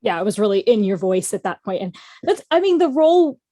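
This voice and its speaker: A woman's voice: medium pitch, sing-song, a little fast, warm tone, dry recording, clean studio sound. A woman hosting an interview, reacting briefly to her guest. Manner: brief backchannel replies. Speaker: interview host. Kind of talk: casual interview chat.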